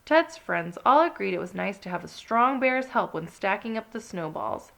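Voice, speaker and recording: A woman narrating a children's read-along story with expressive, dramatic emphasis. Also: medium pitch, moderate pace, vocal fry.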